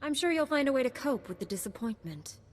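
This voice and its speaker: A woman's voice: sits high, very animated, fast talker. A woman playing a resolute, heroic young Jedi knight in a video game, speaking in a firm, composed way. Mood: determined. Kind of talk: video game character acting.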